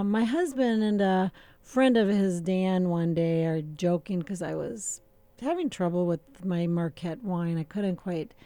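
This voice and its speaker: A middle-aged woman talking as a winemaker guest in a casual radio interview, relaxed and chatty, with many filler pauses and easy laughter. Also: medium pitch, no echo.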